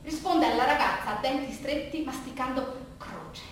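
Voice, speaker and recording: A woman performing a theatrical monologue, telling a story dramatically with halting repetitions. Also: sits high, lively intonation. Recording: very echoey room with faint noise.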